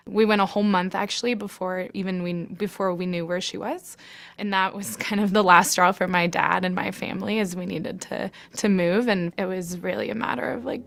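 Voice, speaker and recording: Woman entrepreneur, Colombian-born, candid personal storytelling, a touch of fry, music bed under her. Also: medium pitch. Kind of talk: documentary interview.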